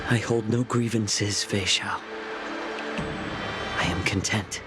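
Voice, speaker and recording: A man voicing a gentle, serene and wry physician in a video game. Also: on the low side, fairly even intonation, slow pace. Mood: serene, reassuring. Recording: music behind the voice.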